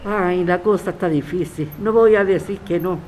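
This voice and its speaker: A woman's voice: on the low side, moderate pace, a little mellow, lightly acted. A woman playing a defiant grandmother who resists eviction, interviewed in a radio drama. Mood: worried, defiant. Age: older. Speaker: interviewed tenant. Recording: clear ambient street noise.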